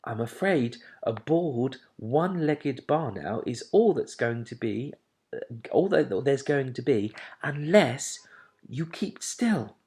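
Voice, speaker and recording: A man voicing a gentle young woman who speaks softly, almost in a hush, in a kindly way. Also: mid-range voice, animated, a little slow, pitched above the performer's own voice. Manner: soft, hushed, kindly.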